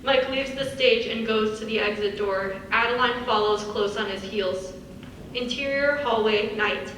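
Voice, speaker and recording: A woman's voice: on the high side, a little slow, a bit bright. A young woman reading stage directions as scripted narration for a live dramatic reading. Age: young adult. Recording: distant echoey hall, faint noise.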